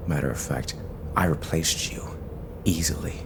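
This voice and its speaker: A man playing a grim, stubborn, ailing older masked vigilante, terse and gravelly, in an audio drama. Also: normal speed, noisy background. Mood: bitter. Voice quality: deep gravelly growl. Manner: terse, brooding, defiant.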